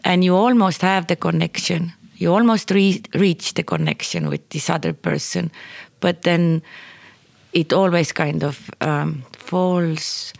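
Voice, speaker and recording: Woman, unhurried and gravelly, hesitant and reflective in casual conversation, close dry sound. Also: lowish voice, sing-song, dry recording. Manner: hesitant, thoughtful, searching for words. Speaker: performance maker, guest.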